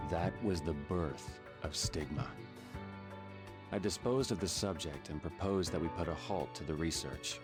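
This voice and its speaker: A very low-pitched, world-weary middle-aged male surgeon voiced by a man from an animated game drama. He is cynical and guilt-ridden, talking in a dry, deadpan grumble, with prominent music underneath. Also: natural voice.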